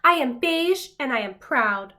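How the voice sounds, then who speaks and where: A woman's voice: sits high, animated, measured pace, bright, forceful tone, heavily acted. A woman voicing a proud, indignant beige crayon from a storybook, complaining emphatically.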